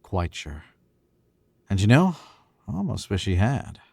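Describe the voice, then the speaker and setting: Casting: a man as a cocky young killer with a lowish voice, wide expressive pitch, crisp theatrical delivery. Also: a little slow, no room sound, heavily acted. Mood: scheming. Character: smooth, arrogant young male murderer host, nervous. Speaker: radio play actor. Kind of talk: old-time radio drama recreation.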